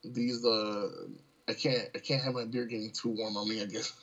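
A man's voice: fairly low pitch, flat delivery, moderate pace, fairly bright tone, breathy edge. Easygoing man on dull lo-fi audio. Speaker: podcast co-host. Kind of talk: casual chat. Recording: dull, lo-fi voice channel.

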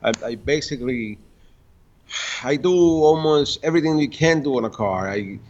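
A man in his fifties, from the Dominican Republic and raised in Puerto Rico, tells his story slowly and haltingly, restarting words as he goes. His voice is mid-pitched. The recording sounds muffled and lo-fi. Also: lively intonation, darkish tone. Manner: halting, many restarts, laughs between stories. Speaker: guest, car builder and tuner. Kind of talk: casual interview storytelling. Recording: dull lo-fi sound.

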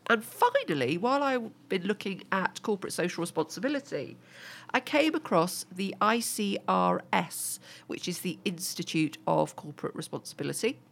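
A woman's voice: lowish voice, expressive, moderate pace, vocal fry. A woman with a cold, sounding bunged up, chatting as co-host and reading through event listings. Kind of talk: casual business podcast chat.

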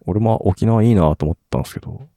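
A man's voice: on the low side, fast, creaky, warm tone, no echo, studio quality. A man in a casual podcast chat, mixing slangy speech with polite endings. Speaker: podcast speaker.

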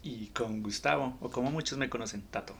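A man's voice: mid-range voice, brisk, vocal fry, fairly bright tone, slight background noise. A man in his early thirties from Colombia, with a Colombian accent, talking casually as a podcast co-host.

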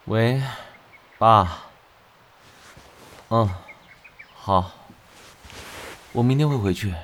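Man playing a slow, low-voiced romantic young stylist. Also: a bit bright, a little hiss or hum. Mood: earnest. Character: devoted, tender young male stylist in love. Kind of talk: romantic audio drama acting.

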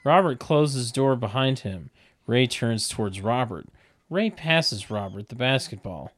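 Guy reading script directions, expressive pitch, wry little mocking asides. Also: a little slow. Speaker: narrator reading stage directions. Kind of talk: comedic table read narration with wry asides.

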